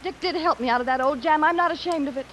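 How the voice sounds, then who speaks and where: Female high-voiced noir femme fatale, desperate and dramatic, on hissy vintage radio. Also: fast, a bit bright. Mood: impassioned, upset. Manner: emotional, pleading, dramatic. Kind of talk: 1940s radio melodrama acting.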